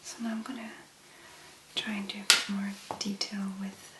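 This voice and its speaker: A woman doing soft-spoken ASMR, murmuring relaxed, whispery commentary as she works. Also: mid-range voice, moderate pace, reverberant, heavy background noise. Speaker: ASMR creator.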